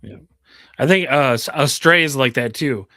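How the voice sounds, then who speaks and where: A man hosting a casual podcast, giving short relaxed replies and telling anecdotes. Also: medium pitch, normal speed. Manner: relaxed, brief backchannel replies. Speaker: podcast host. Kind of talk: casual interview chat.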